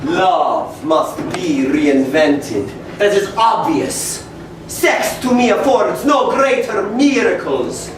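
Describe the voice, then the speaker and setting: A pompous, irascible mad scientist baron in a campy theatrical reading. His high voice swings broadly as he declaims and shouts. It is caught from far off in a noisy, echoing room. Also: expressive, slow pace. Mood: grandiose. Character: grandiose, short-tempered mad scientist baron, male. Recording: distant mic, noisy echoey live room.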